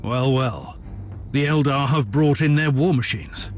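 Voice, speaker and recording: An actor playing a self-assured male Inquisitor in a video game, speaking in a measured, dry, authoritative way. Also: mid-range voice, a little hiss or hum, lightly acted. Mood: composed, commanding. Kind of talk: dramatic game cutscene dialogue.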